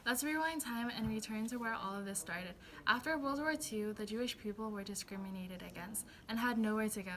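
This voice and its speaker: A woman's voice: fairly high pitch, brisk, hardly any pauses, natural voice. A woman playing a blunt, opinionated news anchor in a scripted mock newscast. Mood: formal, newsy.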